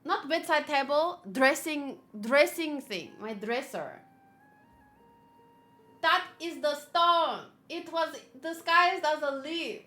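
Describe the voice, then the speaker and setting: A woman's voice: high-pitched, slow pace, sharp tone. A woman streaming a game with casual live reactions, playful, gushing and sweary as she rambles along.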